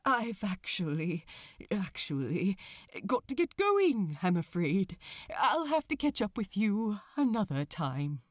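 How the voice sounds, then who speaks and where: A woman's voice: mid-range voice, sing-song, no room sound, clean studio sound, pitched below the performer's own voice. A woman voicing a flustered, polite male angel who stammers to hide heartbreak. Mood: forced cheer. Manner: halting, stammering, over-polite.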